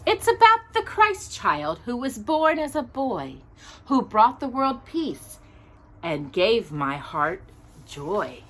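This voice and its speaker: A woman hosting a children's storytime, reading aloud expressively in a deliberate storybook manner with casual asides. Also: fairly high pitch, slight background noise. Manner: animated, deliberate storybook delivery.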